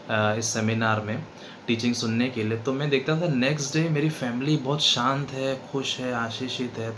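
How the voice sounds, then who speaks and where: A man of 39 with an Indian accent gives an unscripted religious testimony, halting often and switching between two languages. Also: mid-range voice, fairly bright tone. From western Madhya Pradesh, India. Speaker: seminar participant giving a testimony. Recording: clearly noisy background.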